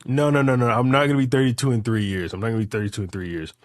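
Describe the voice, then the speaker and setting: A man's voice: medium pitch, steady tone, rapid-fire, no echo, studio quality. Young man, casual, emphatic and repetitive. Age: late 20s. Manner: emphatic, repeats phrases, profane slang. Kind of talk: casual chat, rambling hypotheticals.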